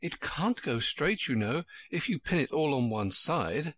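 Man putting on a polite timid girl, gently raised voice, storybook, crisp and bright. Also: fairly high pitch, big pitch swings, measured pace, pitched above the performer's own voice, exaggerated voice.

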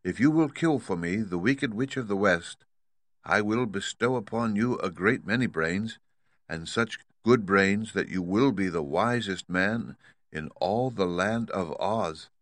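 A man's voice: lowish voice, measured pace, no echo, lightly acted. A man voicing a cool, imperious fairy queen. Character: lovely winged lady ruler, cool and imperious.

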